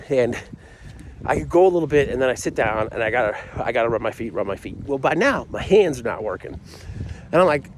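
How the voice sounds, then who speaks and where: A man's voice: on the high side, background noise. A middle-aged American man telling a comic personal story in a casual, rambling, self-deprecating way with frequent asides.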